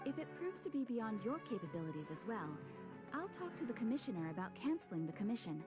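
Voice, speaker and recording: A woman voicing a calm, courteous female guild receptionist who speaks in a formal, measured way, with soft game music behind. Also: fairly high pitch. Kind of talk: game cutscene voice acting.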